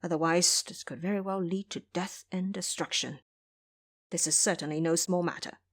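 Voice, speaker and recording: A woman as a hushed, cautious monk master. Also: fairly low pitch, fairly even intonation, moderate pace. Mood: hushed warning. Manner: admonishing, formal, hushed. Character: cautious, stern male Buddhist monk master.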